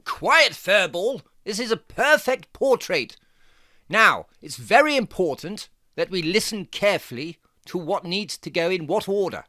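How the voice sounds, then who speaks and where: Jaunty cartoon fox art teacher, slow n theatrical, voice swooping all over. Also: mid-range voice, expressive, sharp tone, no echo, clean studio sound. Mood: playfully bossy. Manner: theatrical, deliberate, encouraging. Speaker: presenter of children's drawing tutorial. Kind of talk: playful step-by-step instruction.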